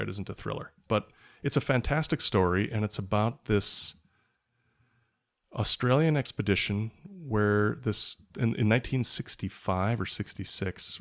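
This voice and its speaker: Low, creaky-voiced man chatting casually. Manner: rambling, hesitant, thinking aloud. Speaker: podcast guest, writer and product journalist. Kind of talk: casual conversational interview chat.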